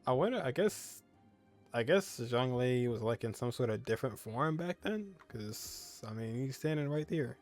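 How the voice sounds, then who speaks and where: Casting: male gamer, average pitch, loose and slangy running commentary, game music behind. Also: lively intonation, moderate pace. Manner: slangy, casual exclamations. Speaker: game streamer. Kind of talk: casual gameplay commentary.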